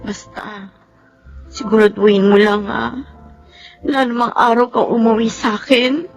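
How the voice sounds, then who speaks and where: A woman's voice: a little high, flat delivery, unhurried, music in the background. A woman acting in a Filipino radio drama as an anxious, emotional young soldier's wife and mother, with a Filipino accent. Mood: earnest, resigned. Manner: tearful, pleading, scolding.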